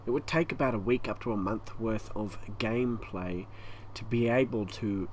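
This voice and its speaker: A man with an Australian accent and a croaky, hoarse voice, rambling through a casual channel update at home, stopping often for breath. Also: on the low side, normal speed, rough recording. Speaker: YouTube gaming channel host. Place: hot home room with a fan running. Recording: faint fan noise behind.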